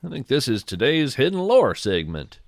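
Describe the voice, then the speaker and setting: A man playing an earnest, wonder-struck naturalist, hosting a scripted fiction nature podcast in a gentle, folksy, lyrical manner. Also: medium pitch, sing-song, moderate pace, gravelly, no echo, clean studio sound. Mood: folksy, easygoing. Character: earnest, wonder-struck male naturalist who seeks cryptids. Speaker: podcast host.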